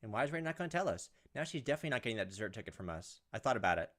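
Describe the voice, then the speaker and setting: Fast-talking man narrating a visual novel playthrough. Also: mid-range voice, very animated, a bit bright. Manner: jokey asides and reactions. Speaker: gaming video host. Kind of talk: casual let's play, reading visual novel text aloud with offhand commentary. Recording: music behind the voice. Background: visual novel game music.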